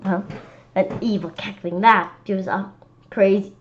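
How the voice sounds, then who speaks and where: A woman's voice: medium pitch, steady tone, measured pace, highs cut off, slight background noise. A woman reading a children's story aloud expressively, often stumbling over and repeating words. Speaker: children's read-aloud host. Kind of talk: animated storybook narration.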